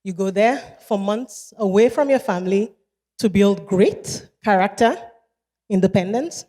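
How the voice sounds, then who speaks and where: Nigerian woman in her 30s doing a keynote, slow and measured, repeats stuff for emphasis, big hall echo. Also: mid-range voice, dark tone. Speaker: keynote speaker. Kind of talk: motivational keynote speech. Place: large event hall. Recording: long hall reverb.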